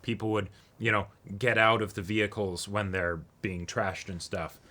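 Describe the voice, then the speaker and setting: A man's voice: fairly low pitch, fairly even intonation, moderate pace, sharp tone. A man co-hosting a casual discussion, talking enthusiastically in long sentences and often checking in with his listener. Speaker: co-host of anime reaction and discussion show.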